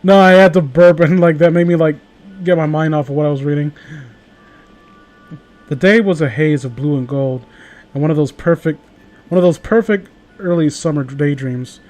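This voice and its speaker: Guy w a kinda high voice, reading stories to friends, fumbles words, chatty. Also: moderate pace, a little mellow. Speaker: host reading stories aloud. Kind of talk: casual group banter and amateur story reading.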